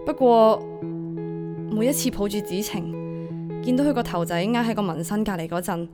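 A woman's voice: medium pitch, normal speed, over music, lightly acted. A woman acting a burdened, devoted young single mother in a radio drama, emotive and confiding, with a Hong Kong Cantonese accent. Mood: wistful, hurt.

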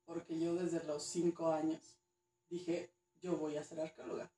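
Mujer mexicana, voz con eco y lejana. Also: female voice, fairly low pitch, mellow, roomy sound, recorded from a distance, a little hiss or hum, poor audio. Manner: hesitant, repeats words, laughs easily. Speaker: guest, archaeologist. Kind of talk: casual interview chat.

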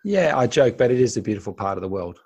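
A man's voice: medium pitch, big pitch swings, fast talker, gravelly, a little mellow, slightly rough, highs cut off. A man hosting a podcast interview, encouraging and lightly joking with his guest. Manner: encouraging, joking, frequent affirmations. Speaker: podcast host. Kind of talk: casual interview chat.

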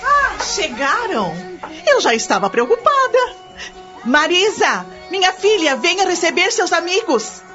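An effusive, fussy mother in a radio play. Her voice is extremely high and bright, calling out with big swoops. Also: very animated, unhurried, heavily acted. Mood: relieved, welcoming. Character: fussy, welcoming mother of a teenager. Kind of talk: radio drama acting. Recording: dull radio play with faint music.

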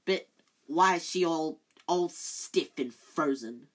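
A woman's voice: sits low, slow. A woman voicing a bitter, hysterical old male caretaker who shrieks and chokes.

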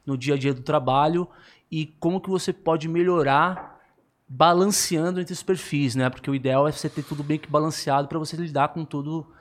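A male podcast co-host with a somewhat high-pitched voice. He talks in a loose, upbeat way, plugging the show's content and welcoming the guest. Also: fairly even intonation, brisk. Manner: upbeat, promotional, informal. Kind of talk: casual podcast chat.

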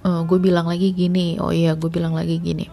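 Mellow low-voiced woman chatting. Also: little pitch movement, fairly quick, gravelly. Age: late 20s. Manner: chatty, rambling, full of fillers. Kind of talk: casual storytelling monologue.

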